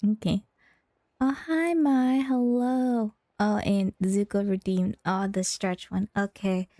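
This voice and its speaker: A woman's voice: medium pitch, steady tone, slow, warm tone, no room sound, studio quality. A woman game streamer chatting casually with the viewers of a livestream.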